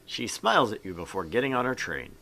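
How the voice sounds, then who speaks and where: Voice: mid-range voice, very animated, fast talker, bright, forceful tone. A game show host reading visual novel narration aloud in a casual, dramatic storyteller manner with joking asides.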